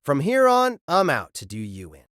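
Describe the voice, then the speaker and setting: A man's voice: a little high, wildly swooping pitch, normal speed, fairly bright tone, no echo, studio quality. A man playing a proud, chivalrous spear-wielding warrior spirit in a formal, dramatic manner. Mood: confident, combative.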